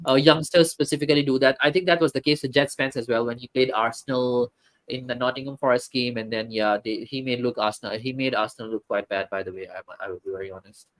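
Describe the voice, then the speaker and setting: A man's voice: mid-range voice, steady tone, fast, a bit bright, rough recording. A man hosting a casual football talk stream, chatting with viewers and reading comments, hesitant with many fillers and restarts.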